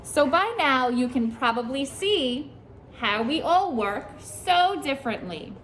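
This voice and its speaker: Lady teacher, kinda high voice, reading a picture book to little kids, asks them stuff and says good job, faint hiss. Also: big pitch swings, slow, fairly bright tone. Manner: asks the children questions and praises their answers. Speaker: preschool teacher. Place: classroom with young children.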